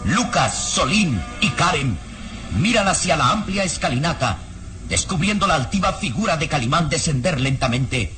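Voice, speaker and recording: A man's voice: fairly high pitch, expressive, normal speed, bright, forceful tone. A man performing as a solemn storyteller, giving dramatic scripted narration for an old radio mystery serial. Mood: solemn, atmospheric. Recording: old radio recording with music underneath.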